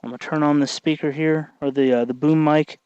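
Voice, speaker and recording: Higher-voiced man talking through a hands-on tutorial. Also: fairly even intonation, darkish tone, clear, resonant tone, no room sound, muffled recording. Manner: halting, thinking aloud while working. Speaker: tutorial host, hobby electronics maker. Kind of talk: casual hands-on tutorial talk.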